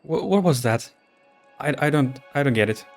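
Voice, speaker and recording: Excitable game streamer, stammering theories. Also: medium pitch, moderate pace, faint music behind. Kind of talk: casual reaction commentary.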